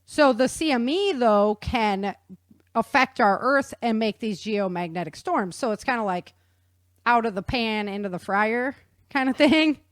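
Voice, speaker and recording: A woman's voice: fairly high pitch, animated, measured pace, no room sound, clean studio sound. A woman hosting a talk show, explaining science in a chatty, informal way and checking in with her listener.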